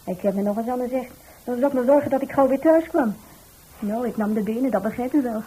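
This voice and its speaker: A feisty, humorous young working girl in a radio drama. Her voice is a bit high, soft-edged and strongly breathy-husky. She speaks quickly, bluntly and with emphasis. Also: low-quality recording. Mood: wry, lively. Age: young adult. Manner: emphatic, indignant, plain-spoken. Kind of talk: radio drama dialogue in Dutch.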